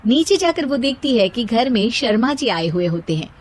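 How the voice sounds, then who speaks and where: A woman's voice: on the high side, sing-song, normal speed, a little hiss or hum. A woman narrating a scripted moral story for children as the storyteller.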